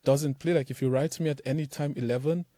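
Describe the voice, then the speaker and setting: A young man from Nigeria answers interview questions in a loose, animated way, with many fillers. His voice is mellow and middle in pitch. The sound is dry and close. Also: fast, no room sound. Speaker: startup founder, guest. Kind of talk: casual interview talk.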